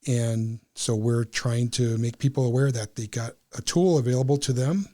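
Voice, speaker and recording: A man speaking as an interview guest, explaining things in a halting way with pauses between phrases. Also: mid-range voice, fairly even intonation, warm tone. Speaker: amateur radio club member, guest.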